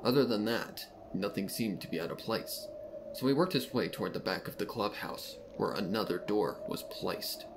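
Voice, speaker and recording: A man's voice: on the low side, animated, moderate pace, background noise. A man reading a story aloud as narrator, in a dramatic scripted narration style. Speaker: fan fiction narrator. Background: music.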